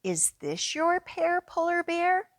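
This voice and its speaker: A woman's voice: very high-pitched, sing-song, slow, no room sound, studio quality, voice pushed up, full character voice. A woman voicing a helpful, curious little mouse from a children's picture book, with a bouncy, questioning delivery. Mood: hopeful.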